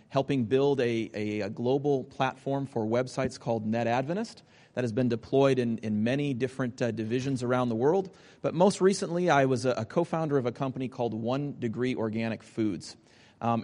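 A man in his forties introduces himself at a seminar, easygoing and searching for words as he goes. His voice sits in an ordinary middle range. The sound carries the long reverb of a large hall. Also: medium pitch. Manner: relaxed, hesitant with many fillers. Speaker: seminar presenter. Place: seminar room.

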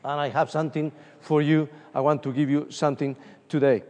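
A man's voice: a little high, brisk. A middle-aged man from Uruguay with a Spanish accent, preaching as an evangelist at a conference and telling personal stories with many fillers. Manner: animated, storytelling, frequent fillers. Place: large conference hall. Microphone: room microphone from a distance. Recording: distant voice with long hall reverb.

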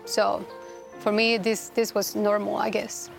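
A woman from Rica, a guest telling her story in a halting, earnest way with many restarts. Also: mid-range voice, level tone, creaky, faint music behind. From Costa Rica. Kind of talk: personal testimony interview.

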